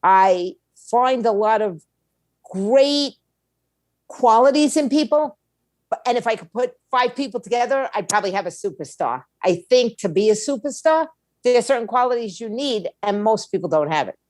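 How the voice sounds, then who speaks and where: A woman's voice: on the high side, animated, normal speed, a little mellow, dry recording. A woman giving conversational interview answers as a guest, speaking emphatically, repeating her points and posing rhetorical questions. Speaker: interview guest, music attorney and label owner.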